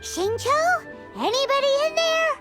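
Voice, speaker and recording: A woman's voice: very high voice, very animated, unhurried, over loud music, exaggerated voice. A woman voicing a tiny childlike companion in a video game, cheeky, impatient and exclamatory.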